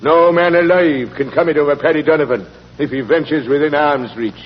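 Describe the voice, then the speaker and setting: An actor playing an old Irish caretaker in a 1940s radio drama, talking in a folksy, emphatic way. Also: male voice, on the high side, fairly quick, close to an everyday voice. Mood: insistent, boastful. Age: older. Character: old Irish caretaker with a wooden leg, excitable storyteller. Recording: muffled, echoing 1940s radio recording.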